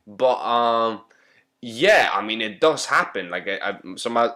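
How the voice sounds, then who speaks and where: Man hosting a podcast, jokey, rambling questions, mid-pitched voice. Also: lively intonation, unhurried, bright, forceful tone. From Hungary. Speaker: podcast host. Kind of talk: casual interview chat.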